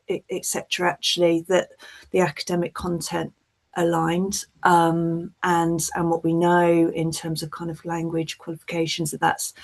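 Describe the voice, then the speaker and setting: Social worker lady on a webinar call, low steady voice, earnest and measured. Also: gravelly. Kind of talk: webinar panel talk.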